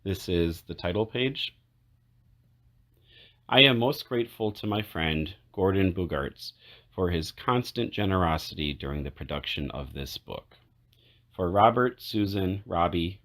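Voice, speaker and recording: A man with a low, deep voice reads a children's storybook aloud. His intonation rises and falls in lively swings, and he sets phrases apart with deliberate pauses. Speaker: children's book read-aloud narrator. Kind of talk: read-aloud storybook narration.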